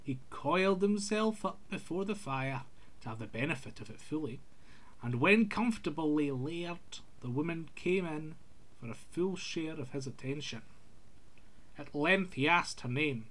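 A man's voice: on the high side, expressive, measured pace, fairly bright tone. A man reading a scripted folk tale aloud in an old-fashioned literary storytelling manner.

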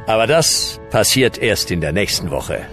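An actor playing a solemn yet tongue-in-cheek male narrator in a comic radio play, measured and theatrical. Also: fairly low pitch, big pitch swings, over music. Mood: wry. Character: wry, grandly solemn male storyteller of creation.

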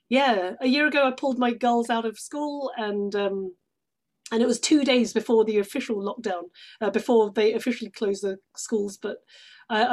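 A woman from London, chatting casually as a guest in a measured, thoughtful way. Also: mid-range voice, darkish tone. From London, England. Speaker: interview guest, actress. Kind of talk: casual chat.

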